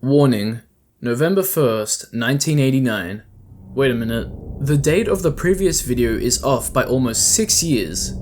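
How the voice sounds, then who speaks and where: A man narrates a scripted video essay in an explanatory way. His voice sits at an ordinary male pitch. There is clearly audible ambient sound behind him as he speaks. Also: expressive, moderate pace, darkish tone, slight background noise.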